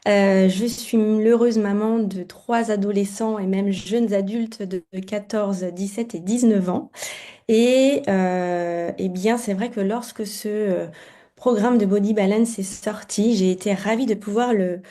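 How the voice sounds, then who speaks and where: A woman's voice: mid-range voice, fairly even intonation, unhurried, mellow. A woman in her forties giving a personal testimony on a video call, hesitant and full of fillers. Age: 40s. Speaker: guest client giving testimony. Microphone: video call mic.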